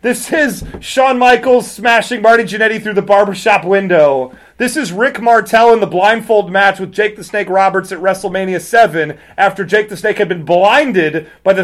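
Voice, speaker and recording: A man with a notably high voice hosts a casual podcast discussion. He rants in an exaggerated, sarcastic way, playing up mock outrage as he gives his commentary. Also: a bit bright. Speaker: podcast host. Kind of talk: casual chat, ranting commentary.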